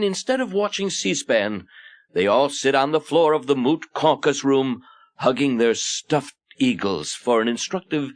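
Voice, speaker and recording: A man's voice: mid-range voice, dry recording. A man with an American accent narrating a satirical storybook in a mock-earnest, theatrical way. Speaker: audiobook narrator.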